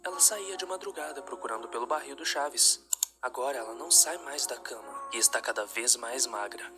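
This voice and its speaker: A man reading a scripted narration of a dark story. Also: medium pitch, fairly quick, music in the background.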